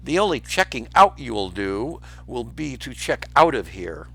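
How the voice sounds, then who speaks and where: A man's voice: medium pitch, big pitch swings, normal speed, breathy edge, pitched above the performer's own voice. A man voicing a fussy, alarmed male bird guardian, squawking and insistent, in a children's storybook reading. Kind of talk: children's storybook read aloud.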